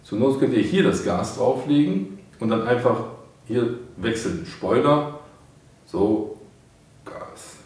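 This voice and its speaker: A man's voice: medium pitch, expressive, slow, pauses often, a little mellow. A middle-aged man reviewing a product at a studio desk, casually walking viewers through it step by step. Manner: informal, explains step by step to viewers. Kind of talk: casual product demo for hobbyists. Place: indoor studio desk with painted backdrop. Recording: very echoey room, mic far off.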